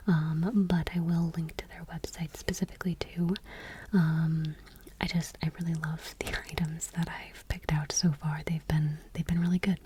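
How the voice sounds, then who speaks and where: Slow, whispering woman doing soft ASMR. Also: on the low side, slight background noise. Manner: gentle, unhurried whispering. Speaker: ASMR creator and host. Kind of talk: soft-spoken ASMR, whispered product showcase.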